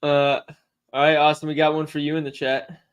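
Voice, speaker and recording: A young man co-hosting a casual sports betting livestream, chatty and quick to laugh while he answers questions from the chat. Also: on the high side, level tone, slight background noise. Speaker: sports betting stream co-host.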